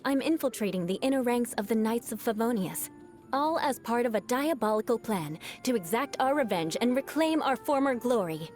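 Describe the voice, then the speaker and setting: An actress voicing a proud, vengeful young aristocratic knight in a video game, speaking with haughty, formal, theatrical diction. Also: female voice, on the high side, expressive, normal speed, a little mellow, soft background music, lightly acted. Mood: haughty, scheming. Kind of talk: scripted video game character voice lines.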